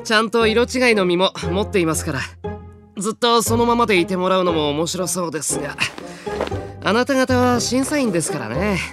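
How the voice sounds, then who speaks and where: Polite, mischievous fairy, lilting widely. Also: male voice, high voice, lively intonation, fairly quick. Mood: smug, polite. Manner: courteous speech with sly mockery. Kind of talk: animated Japanese audio drama acting. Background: music and animal sounds.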